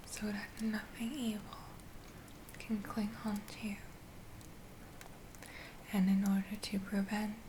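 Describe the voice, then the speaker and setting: A woman performing a soft-spoken, whispered ASMR roleplay as a kind witch healer, soothing and gentle, lingering on her words. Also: medium pitch, noisy background.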